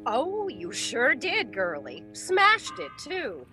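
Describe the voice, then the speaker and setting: A woman's voice: sits high, wildly swooping pitch, takes it slowly, over music, exaggerated voice. A woman voicing a brash, cheeky, slangy street witness in 1940s radio style. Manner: slangy, uneducated wisecracking speech. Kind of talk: 1940s radio comedy-drama acting.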